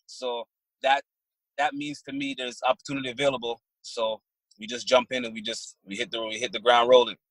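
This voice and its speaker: A man in his thirties from Barbados, a trucking business owner answering interview questions in a plain, halting way. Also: medium pitch, flat delivery, a bit bright, no echo, poor audio. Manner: plain-spoken, halting with fillers and restarts.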